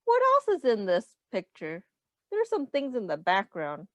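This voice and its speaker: Lady teacher doing a read aloud for little kids, really up and down pitch, simple explaining, close dry sound. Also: huge pitch swings, measured pace, no room sound. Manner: simple instructive phrasing aimed at young children. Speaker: teacher reading a picture book aloud. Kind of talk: children's read-aloud lesson, asking questions and counting.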